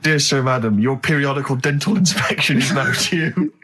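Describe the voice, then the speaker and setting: A man's voice: medium pitch, moderate pace, crowd noise. A man co-hosting casual radio chat, dry and politely steering the talk. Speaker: radio show host. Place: radio studio.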